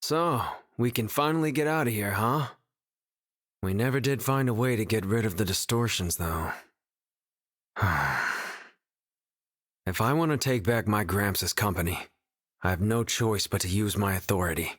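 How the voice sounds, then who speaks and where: A brash young man from a wealthy family in anime game dialogue. He has a lowish voice and a casual, self-assured swagger. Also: a little slow, pauses often, fairly bright tone. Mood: resigned. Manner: casual, cocky. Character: brash, ambitious young man, cocky rich heir.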